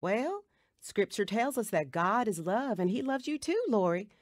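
A woman's voice: mid-range voice, expressive, normal speed, no room sound, close to an everyday voice. A woman playing a kind, reassuring Sunday school teacher in a radio drama, patient and instructive. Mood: gentle, assured.

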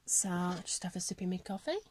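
A woman hosting a colouring channel, chatting casually at her table at home, her voice croaky from a cold and her talk apologetic and rambling. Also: fairly low pitch, lively intonation, a little fast, heavy background noise. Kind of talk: casual chat showing colouring books. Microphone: camera on a selfie stick above the table.

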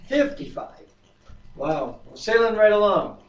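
Older guy, high mellow tenor, slow drawl, far off in an echoey room. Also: big pitch swings. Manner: sings slowly while playing keyboard. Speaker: co-host reading scripture. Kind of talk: casual chat, hymn singing and Bible reading. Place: wood-paneled home dining room, indoors. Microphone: far camera. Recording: roomy, distant, dull home video.

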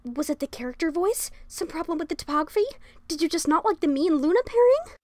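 Guy doing a shy nerdy filly, eager and easily flustered, high voice all over the place, story reading. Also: very animated, fast, a little mellow, no room sound. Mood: distressed. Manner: earnest, bookish, easily flustered. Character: nervous, eager young female pony writer, bookish. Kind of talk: dramatic fan fiction reading.

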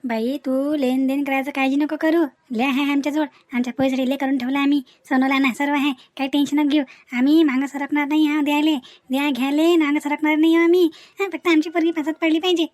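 A woman acts out a proud village mother-in-law from a comedy cartoon. She talks fast. Her manner is bossy, emphatic and nagging. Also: high-pitched, little pitch movement, a little mellow, no room sound, close to an everyday voice. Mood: boastful assurance. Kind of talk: Marathi comedy cartoon dialogue.

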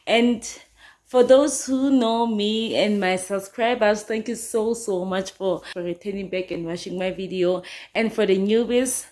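A woman's voice: medium pitch, unhurried, darkish tone. A young woman chatting loosely to camera as a vlogger, rambling a little as she talks. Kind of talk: casual chat to camera. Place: small room indoors. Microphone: phone camera held close.

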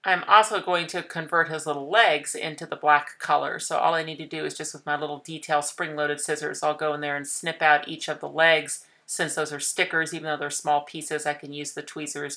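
Craft tutorial host, woman in her thirties, low-pitched with a bright, clear tone, patient and explanatory. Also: level tone, brisk, hardly any pauses. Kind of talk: calm step-by-step craft demonstration.

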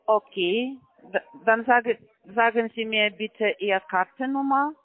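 A woman's voice: fairly high pitch, unhurried, no room sound. A woman working as a call center agent on a phone call, talking in broken grammar with constant hesitant fillers. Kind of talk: phone sales pitch. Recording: tinny dry phone line.

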